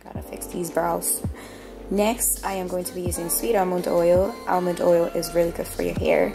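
Raspy young woman, under the weather. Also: lowish voice, lively intonation, normal speed. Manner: chatty, self-mocking, talks to viewers directly. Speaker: beauty vlogger. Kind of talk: casual chat. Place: small bathroom, indoors. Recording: music playing clearly underneath.

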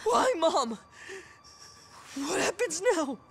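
An actor playing a hurt, fiery young street tough and devoted son in a game drama, with a raw, pleading manner. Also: male voice, very high-pitched, very animated, extremely slow, creaky, fairly bright tone, a little hiss or hum, full character voice. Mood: anguished pleading. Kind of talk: dramatic video game dub acting.

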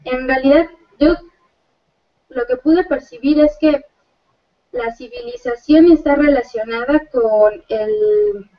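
A young woman with a high voice walks a seminar through her reading, pausing often and restarting her phrases. She sits on a living-room sofa, heard from a distance through a webcam, and the sound is dull and muffled. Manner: thoughtful, hesitant, many fillers. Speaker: student presenter. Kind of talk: seminar presentation. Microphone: far laptop webcam. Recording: distant, muffled video call audio.

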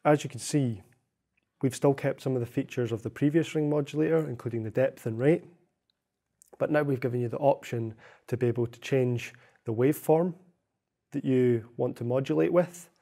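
A young man narrating a software tutorial in a measured, explanatory way. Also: medium pitch. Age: young adult. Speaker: software demonstrator.

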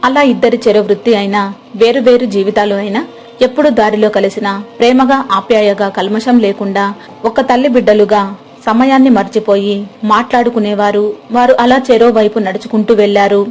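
A woman narrating a moral story and voicing the village wife, in a gentle, plain, homely way. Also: medium pitch, fairly even intonation, brisk, faint music behind.

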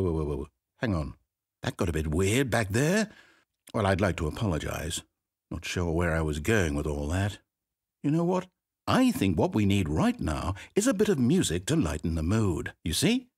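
A husky, rambling game narrator. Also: male voice, mid-range voice. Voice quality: rambling, theatrical, wildly swinging delivery. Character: chatty, fussy, self-doubting male narrator. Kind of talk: video game voice acting.

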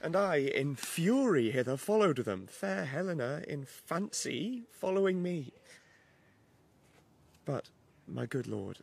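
An actor playing an earnest, lovestruck young nobleman, declaiming measured Shakespearean verse in a radio drama. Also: fairly high pitch, sing-song, vocal fry, husky, exaggerated voice. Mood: earnest, ardent.

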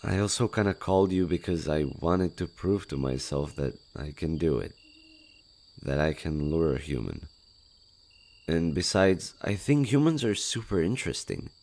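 A man voicing a shy, gentle skinwalker monster in an audio roleplay, speaking hesitantly with innocent curiosity about humans. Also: deep voice, animated. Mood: earnest, gentle. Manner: hesitant, halting, innocent wonder. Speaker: voice actor. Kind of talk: intimate audio roleplay, one-sided dialogue.

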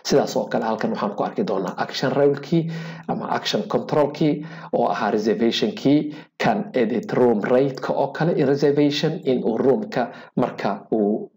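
A man teaching a hotel management program over a screen recording, speaking haltingly with many filler sounds and English technical terms mixed in. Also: medium pitch, darkish tone. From Somalia. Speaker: software instructor. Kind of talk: screen-recorded software tutorial.

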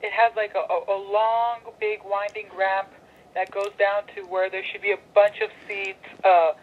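A woman's voice comes thinly through a phone speaker with slight noise. She gives directions patiently, pausing to work out how to phrase them. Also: medium pitch, flat delivery. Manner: patient, searching for words. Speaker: university phone operator giving directions. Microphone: telephone heard over speakerphone. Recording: tinny noisy phone call through speakerphone.

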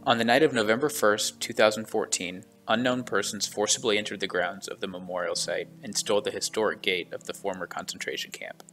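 A man reading a measured, solemn voice-over narration for a travel vlog walking tour. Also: lowish voice, fairly even intonation, fairly bright tone, faint music behind.

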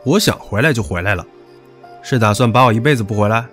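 An actor playing a hot-tempered, possessive young rich heir in an audio drama, brash and taunting. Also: male voice, on the low side, fast talker, fairly bright tone, soft background music, close to an everyday voice. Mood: needling. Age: young adult. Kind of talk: Chinese audio drama acting.

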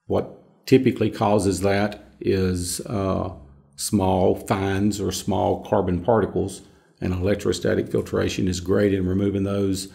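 A man's voice: low voice, vocal fry, dark tone. A middle-aged man explaining technical points emphatically in a panel discussion, repeating key words. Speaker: filtration subject matter expert. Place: small conference room, indoors.

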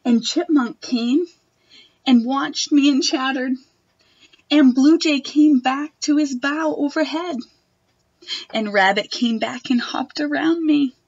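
A young woman reads a children's picture book aloud. Her voice is fairly high, with a gentle, expressive delivery. The sound is dry and slightly dull, from a device mic at home. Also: slow, dry recording. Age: young adult. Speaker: storytime reader. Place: wood-panelled room at home.

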